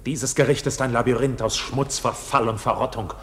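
A man's voice: mid-range voice, steady tone, moderate pace, a little hiss or hum, close to an everyday voice. An actor playing an accused man in a radio drama, defiant and anguished, with impassioned, theatrical delivery. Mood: bitter disgust. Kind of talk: dramatic radio play acting.

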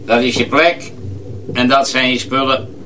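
An actor in a radio drama playing a sinister, commanding master of a mill, speaking curtly. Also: male voice, mid-range voice, steady tone, a crowd in the background, lightly acted, low-quality recording. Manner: slow, curt, commanding.

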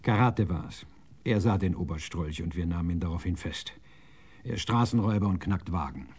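An actor playing a self-assured police sergeant in a radio crime drama, recounting a case in measured, orderly fashion. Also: male voice, low voice, lively intonation, a little mellow, breathy edge, full character voice. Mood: pleased, explanatory.

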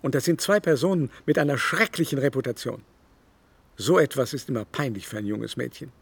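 An actor playing a teasing, gossipy society gentleman in a literary radio drama, with an arch, mannered, exclaiming delivery. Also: medium pitch, brisk, vocal fry, slightly rough. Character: teasing, gossipy, affected male society dandy.

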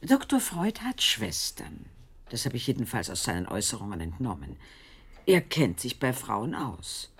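A candid, shrewd wife in a radio play. Her low voice is creaky and husky, with very animated intonation. She speaks deliberately and knowingly, often dropping to a whisper. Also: heavily acted. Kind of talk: German radio drama acting.

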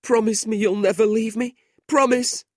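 A frail young boy near death, his voice thin, high and pleading, as a male storyteller puts him on. The pitch leaps far above the reader's own, while the recording stays close and dry. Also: a little slow, a little mellow, husky, dry recording. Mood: frightened pleading. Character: frail dying young boy, fearful then tender.

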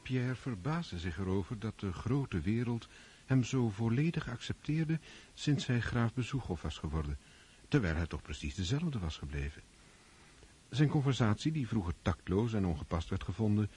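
An actor narrating a historical radio drama in the third person, measured and literary. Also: male voice, on the low side, very animated, dark tone, breathy edge, full character voice.

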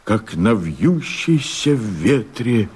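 A wild-hearted peasant rebel of the steppe in a radio play. His voice sits very low and rough with huskiness. He declaims verse with great slowness and weight. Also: sing-song, darkish tone, full character voice. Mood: lyrical, confiding. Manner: slow, weighty, poetic declamation. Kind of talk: theatrical radio drama verse.

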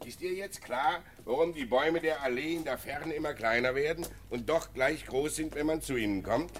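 An actor playing a patient, fatherly elderly jurist in a German radio drama, speaking in a measured, formal, instructive way. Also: on the high side, lightly acted. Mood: calm, reassuring. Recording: faintly noisy radio drama recording.

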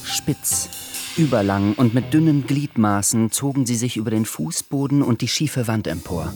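A man's voice: mid-range voice, animated, moderate pace, a little mellow. A man narrating a scripted literary radio drama. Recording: studio narration over music bed.

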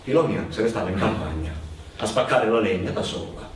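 A man's voice: lowish voice, animated. A man performing a theatrical narrative monologue as a storyteller, dramatic and given to insistent, building repetition. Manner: dramatic, building repetitions into drawn-out, chanted cries. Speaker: stage storyteller, actor. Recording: very echoey, distant microphone, noisy ambience.

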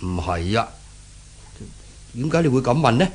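A man's voice: mid-range voice, sing-song, normal speed, a little mellow, breathy edge. A man acting in a 1970s Cantonese radio drama as an anxious middle-aged shopkeeper father with a Hong Kong accent, stammering and repeating his words. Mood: worried. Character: anxious older shopkeeper father, flustered and kindly.

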